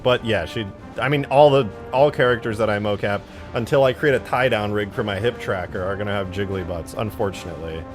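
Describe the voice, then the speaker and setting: A man with a fairly low voice talks casually on a livestream about his modding work. He rambles informally and jokes dryly with chat, with music playing behind him. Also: a little fast. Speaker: live streamer and game modder. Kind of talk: casual livestream chat, reading and answering viewer comments.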